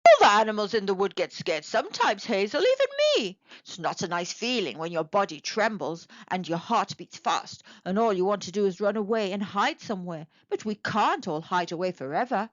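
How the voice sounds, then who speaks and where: A woman's voice: mid-range voice, big pitch swings, moderate pace, hardly any pauses, no echo, lo-fi sound, close to an everyday voice. A woman voicing a kind, reassuring male tawny owl in a children's storybook, explaining things in an encouraging way.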